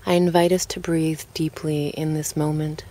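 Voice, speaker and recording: A woman with a low-set voice narrates a guided relaxation. Her delivery is calm and gentle, moving without hurry and pausing between short phrases as in a scripted meditation. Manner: calm, unhurried, gently spoken with pauses.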